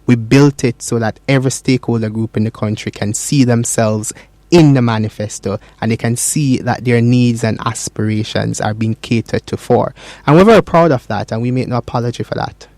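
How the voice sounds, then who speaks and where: A man explains party policy in a radio interview, earnest and a little halting, with frequent ums and uhs. His voice sits at a middling pitch. The sound is dry and close. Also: a little mellow, no echo. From Jamaica. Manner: earnest, explanatory, many fillers. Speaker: party campaign spokesperson.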